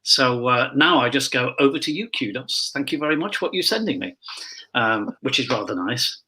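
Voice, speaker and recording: A man's voice: medium pitch, lively intonation, normal speed, bright, forceful tone, poor audio. A man chatting casually on a remote call, rambling along with asides as a pantomime performer. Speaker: pantomime dame performer, panel guest. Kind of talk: casual chat over video call. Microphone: earpiece microphone on a remote call.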